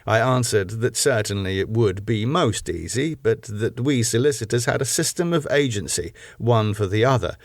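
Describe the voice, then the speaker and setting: A man narrating a gothic novel as an audiobook, in a measured, formal storytelling manner on a close microphone. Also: lowish voice, no room sound.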